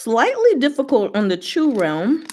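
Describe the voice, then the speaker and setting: A woman's voice: a little high, huge pitch swings, normal speed, darkish tone. A woman hosting a casual livestream, chatting with viewers in short, giggly asides. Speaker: livestream host.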